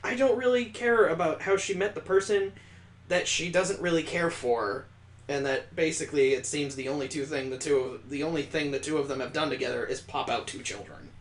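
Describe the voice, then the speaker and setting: A man's voice: a little high, fairly quick, echoey room, slight background noise. A man hosting a gaming let's play, reading a visual novel aloud and breaking in with sarcastic, joking asides. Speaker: gaming video host.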